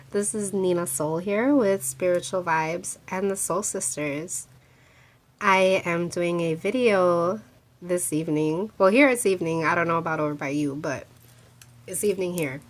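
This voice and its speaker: A woman's voice: fairly low pitch, unhurried. A woman with a congested voice from a cold, chatting casually to her viewers about a handmade crystal ring, with lots of restarts and filler words. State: congested from a cold, sore throat. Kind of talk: casual talk to camera showing a handmade ring.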